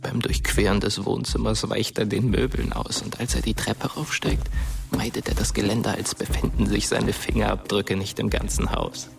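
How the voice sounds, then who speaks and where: An actor playing a tense, somber narrator in a radio drama, with a gravelly voice and measured, ominous delivery. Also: male voice, lowish voice, steady tone. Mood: tense, secretive. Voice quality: gravelly, creaky. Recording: radio drama with music underneath.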